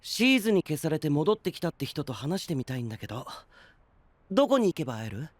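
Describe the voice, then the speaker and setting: A man playing a thoughtful, inquisitive young knight in an anime-style voice drama, casual and expressive. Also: fairly high pitch, fast talker, dry recording. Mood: curious.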